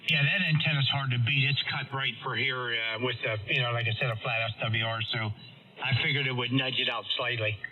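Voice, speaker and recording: A man's voice: medium pitch, steady tone, brisk. Man on a muffled ham radio. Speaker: amateur radio operator. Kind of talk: casual ham radio chat. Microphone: heard over amateur radio. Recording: muffled amateur radio transmission with noise.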